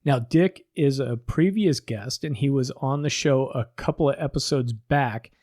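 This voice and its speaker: A man's voice: medium pitch, unhurried, darkish tone, no room sound, studio quality. Stuffy-nosed older man hosting a podcast. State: stuffy nose from a head cold. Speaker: podcast host. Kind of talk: casual podcast interview.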